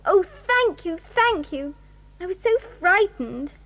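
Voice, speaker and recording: A woman's voice: very high voice, big pitch swings, a little slow, a bit bright, faint music behind, heavily acted, low-quality recording. A woman with a British accent playing a frightened young girl who speaks timidly and earnestly.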